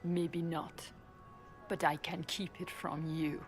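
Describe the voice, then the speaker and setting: An actress playing a defiant, cunning elven rebel in a fantasy game, cool and sardonic. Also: female voice, fairly low pitch, level tone, takes it slowly, faint music behind. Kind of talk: fantasy video game acting.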